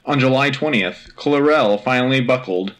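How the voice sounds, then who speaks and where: A man playing an earnest, patriotic radio anchor reading military news in a crisp, urgent, official manner. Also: mid-range voice, normal speed, a bit bright, close to an everyday voice. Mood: grave, resolute. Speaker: radio host. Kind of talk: scripted military news bulletin.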